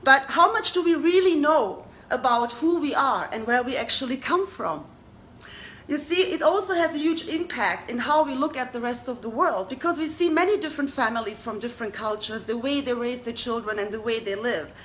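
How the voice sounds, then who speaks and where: A woman's voice: sits high, animated, normal speed, fairly bright tone, slight background noise. A young woman from Germany hosting a talk show, speaking to an audience in an earnest way and asking them rhetorical questions. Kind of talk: addressing an audience.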